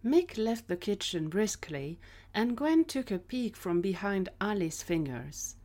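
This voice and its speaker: A woman's voice: medium pitch, big pitch swings, measured pace, mellow. A woman narrator reading scripted story narration for an audio drama.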